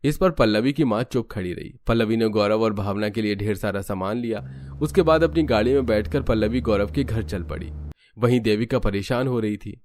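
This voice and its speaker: A man's voice: lowish voice, brisk, darkish tone. A man reading scripted narration for a cartoon family story.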